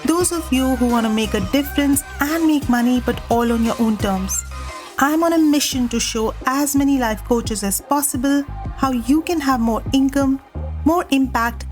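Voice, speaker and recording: A woman with a bad cold hosts a solo podcast, her fairly high voice coming out nasal and a little croaky. She talks in an earnest, candid way. Soft music sits faintly behind her. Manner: earnest, candid, talks with a light laugh now and then. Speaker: podcast host.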